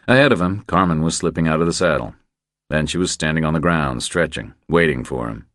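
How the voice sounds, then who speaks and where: A man with an exceptionally low, deep voice narrates a thriller audiobook. His reading is measured and deliberate, and the recording is dry and close. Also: lively intonation, a bit bright, no echo. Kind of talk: scripted narration of crime thriller.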